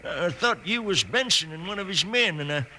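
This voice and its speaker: Stubborn beaten mine owner, American, very sharp voice, halting rustic speech, weakened, light background noise, old radio. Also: male voice, high voice, animated, full character voice. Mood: bitter, weary. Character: battered, stubborn mine owner just rescued. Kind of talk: 1950s radio western acting. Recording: slightly noisy old radio recording.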